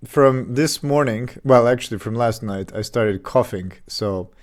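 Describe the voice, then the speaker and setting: A man's voice: mid-range voice, a little slow. A man streaming his game development live, chatting casually with fillers and restarts while getting over an illness with a slight cough. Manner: relaxed, rambling, many fillers and restarts.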